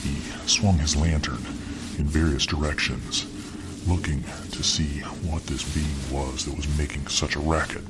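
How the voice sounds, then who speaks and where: A man narrating a fiction story in a soft, ASMR style. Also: fairly even intonation, background noise. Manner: slow, soft, deep. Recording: narration over clear music.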